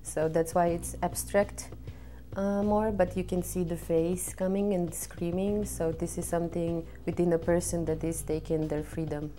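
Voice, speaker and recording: A Serbian-accented woman in her thirties, an artist from Serbia, speaking earnestly to camera in an interview, halting with frequent pauses and fillers. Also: lowish voice, flat delivery, mellow. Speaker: exhibiting artist. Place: indoor studio in front of a red backdrop.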